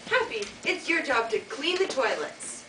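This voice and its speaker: Bossy storybook princess, played by a teen girl, slow animated delivery, distant stage sound. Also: high-pitched. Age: teenager. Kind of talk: amateur school readers' theater. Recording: echoey noisy hall from far off.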